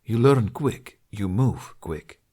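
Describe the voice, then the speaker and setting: A man's voice: lowish voice, lively intonation, slow, warm tone. A man voicing a stern, earnest older Hungarian archery mentor in an audiobook, with solemn, formal phrasing. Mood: solemn, insistent.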